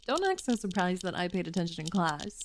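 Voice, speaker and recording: A woman's voice: lowish voice, sing-song, normal speed, a little hiss or hum. A woman playing a skeptical, teasing young elf and reluctant research partner in an ASMR roleplay, with a dry, grudging manner.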